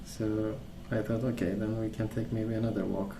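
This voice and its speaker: A man speaking softly in a calm, gentle, unhurried way, rambling in a casual ASMR storytelling style. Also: on the low side. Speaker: ASMR video creator. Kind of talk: soft-spoken ASMR rambling. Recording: roomy sound with clear background noise.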